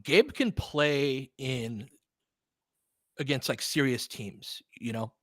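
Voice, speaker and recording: Man, sports host, casual play-by-play, very animated pitch, slangy, profane, dry close sound. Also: takes it slowly, dry recording. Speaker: sports podcast host calling a game. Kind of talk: casual fan play-by-play commentary.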